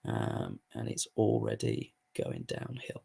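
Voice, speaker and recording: A hoarse man giving casual, sweary commentary while playing a football management game. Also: a bass voice, huge pitch swings, takes it slowly, dark tone. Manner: swears freely, muttering asides while playing. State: hoarse, voice going. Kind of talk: casual live gaming commentary.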